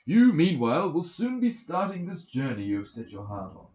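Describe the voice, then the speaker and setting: A man's voice: fairly high pitch, very animated, moderate pace, darkish tone, voice pushed up. A man voicing a goddess disguised as a wise old male mentor in an epic audiobook, encouraging and emphatic. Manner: emphatic, commanding, reassuring. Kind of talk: dramatic audiobook character reading. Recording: roomy echoing home recording.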